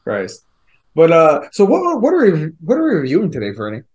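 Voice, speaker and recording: A man's voice: a little high, wildly swooping pitch, fairly quick, darkish tone, muffled recording. A man co-hosting a casual movie review podcast, blunt and teasing, swearing freely. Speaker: podcast co-host.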